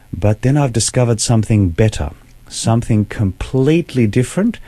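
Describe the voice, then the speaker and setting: A man, a guest telling his personal faith story in an earnest, measured way. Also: fairly low pitch, dark tone, no echo. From Australia. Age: 40s. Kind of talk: personal testimony in interview.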